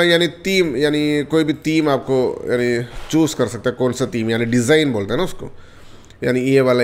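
A man demonstrating software step by step in a casual tutorial, often calling viewers friends and checking in with them as he goes. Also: fairly high pitch. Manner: repetitive, addresses viewers as friends, many fillers. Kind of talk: screen-recorded software tutorial.

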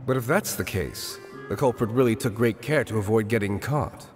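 An actor playing a shrewd, guarded bartender in a video game, dry and wary in manner. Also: male voice, on the low side, moderate pace, soft background music, lightly acted. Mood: wry, shrewd. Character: guarded, shrewd underworld bartender, adult man. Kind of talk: video game dialogue acting.

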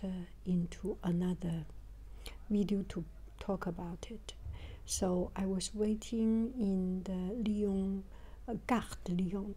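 An older woman giving a reflective travel vlog narration, haltingly, repeating phrases as she searches for words, in a breathy, whispery voice. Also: medium pitch, mellow. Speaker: travel vlogger.

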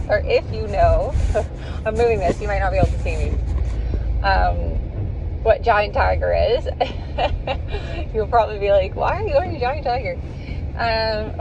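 A woman's voice: medium pitch, dull audio. A young adult woman talking casually as a vlogger, recorded on a handheld camera or phone. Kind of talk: casual chatty vlog talk. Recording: noisy handheld camera, household and car noise.

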